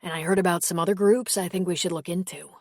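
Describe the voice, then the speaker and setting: A woman's voice: lowish voice, steady tone, fast talker, a little mellow, no echo, studio quality. A woman reading as an earnest former priest. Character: gentle middle-aged man, former priest, earnest. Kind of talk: audiobook character reading.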